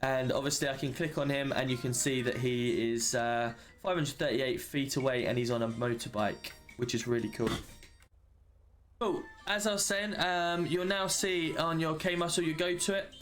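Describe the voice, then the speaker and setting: Male tutorial presenter, medium pitch, casual and practical delivery, explaining a game feature plainly. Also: moderate pace, a bit bright, faint music behind. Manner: informal, matter-of-fact explaining. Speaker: roleplay server member giving a tutorial. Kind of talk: casual screen walkthrough of a game system.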